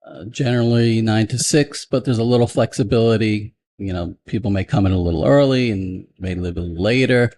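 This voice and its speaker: A man answering questions at a public planning board hearing, halting and restarting his sentences. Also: on the low side, a little mellow, slight background noise.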